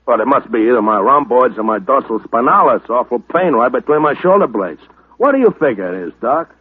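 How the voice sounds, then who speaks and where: A brash American gym-loving lug in a vintage radio play. His talk is blunt and slangy in a rough, husky voice, heard through a tinny recording. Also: mid-range voice, big pitch swings, fairly quick. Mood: insistent. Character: brash muscle-bound gym enthusiast, cheerful male sidekick. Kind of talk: old-time radio comedy-drama acting.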